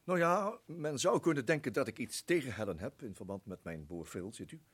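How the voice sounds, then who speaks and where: A man's voice: mid-range voice, animated, brisk, slightly rough. An actor playing a polite but evasive man suspected of lying in a radio drama, prone to stammering when pressed. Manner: polite then flustered, stammering. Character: smooth-talking man hiding guilt, defensive.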